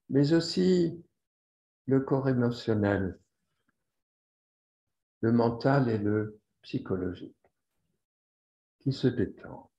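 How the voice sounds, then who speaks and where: A man leading a guided yoga relaxation as the instructor, speaking deliberately and repeating phrases for emphasis. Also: mid-range voice, mellow. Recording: roomy echo, slightly dull sound.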